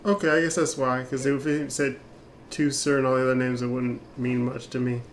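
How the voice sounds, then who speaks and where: A man streaming a game with a mid-pitched voice. He comments casually and offhand in short bursts, half to himself, with faint noise behind him. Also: little pitch movement, a little fast. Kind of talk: casual live gameplay commentary.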